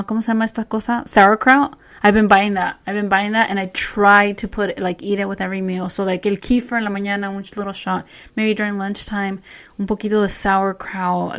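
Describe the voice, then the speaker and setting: A woman in her forties co-hosting a casual chat, rambling and searching for words, speeding up in bursts. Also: mid-range voice. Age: 40s. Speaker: podcast co-host.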